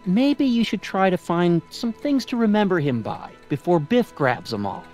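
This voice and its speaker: A man's voice: sits high, very animated, normal speed, a little mellow, soft background music. A man acting a mild, conciliatory middle-aged American father in a video game. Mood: gently reasoning.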